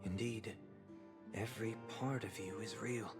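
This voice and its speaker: An actor playing a cold, suspicious man of authority in a video game, measured, haughty and menacing. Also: fairly low pitch, steady tone, lightly acted. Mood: icy, deliberate. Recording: clear music behind the voice.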